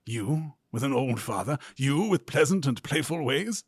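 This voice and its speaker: Man reading as a deliberate, stern Victorian lawyer, husky. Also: mid-range voice, expressive, no room sound. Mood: wry, surprised. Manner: deliberate, formal, rhetorical. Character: stern male Victorian lawyer, measured and wry. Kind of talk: audiobook-style literary reading.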